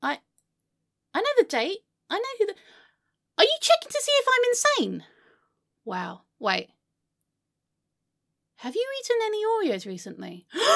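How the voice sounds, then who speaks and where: A woman acts out a melodramatic, unreasonable woman in a comedy monologue. Her voice sits extremely high and leaps around in pitch. She swears freely and delivers everything histrionically, veering between sulky mutters and wounded wails. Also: huge pitch swings, moderate pace, creaky, pauses often, full character voice. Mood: huffy, paranoid. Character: melodramatic, irrational, foul-mouthed woman outraged by her haircut. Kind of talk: comedic monologue to an unheard friend.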